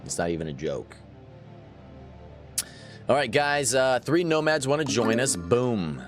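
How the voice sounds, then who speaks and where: A man's voice: mid-range voice, very animated, a little slow, soft background music. A man in his thirties chatting casually while livestreaming a game, laughing often and answering his viewers. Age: 30s. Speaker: gaming livestreamer. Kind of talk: casual live gameplay chat.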